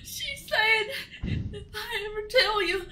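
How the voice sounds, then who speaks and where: Woman acting a very high-pitched Southern farm pony. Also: slow, bright, forceful tone, heavily acted. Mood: tearful, frightened. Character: distressed, guarded female farm pony, defensive and pleading. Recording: roomy, hissy home microphone.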